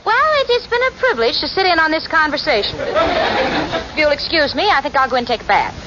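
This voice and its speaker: An actress playing a sensible, wry young roommate in a 1940s radio comedy, with dry, articulate, patient exasperation. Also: female voice, high voice, animated, a little fast, over a noisy crowd. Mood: exasperated. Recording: noisy, muffled 1940s radio recording.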